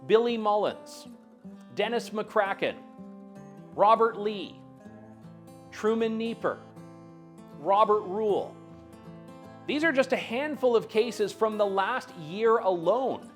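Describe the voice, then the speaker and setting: A man's voice: high voice, expressive, slow pace, long pauses, soft background music. A man narrating a documentary in a scripted, pointed, accusatory delivery.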